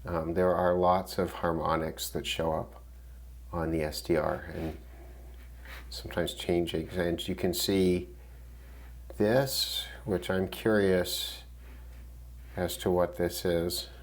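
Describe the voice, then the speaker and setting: A man's voice: deep voice, little pitch movement, slow, pauses often. A man giving casual, unscripted commentary as a radio hobbyist, hesitant and thinking aloud as he goes. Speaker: shortwave radio hobbyist demonstrating a receiver. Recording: clearly noisy, loud radio static.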